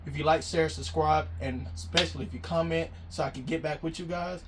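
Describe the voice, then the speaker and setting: Higher-voiced American man vlogging. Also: steady tone, a little fast, background noise. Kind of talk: casual car project vlog.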